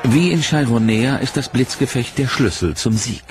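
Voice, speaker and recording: A man giving scripted, measured documentary narration in a dramatic storytelling manner, over a music bed. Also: mid-range voice. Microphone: studio voice-over mic.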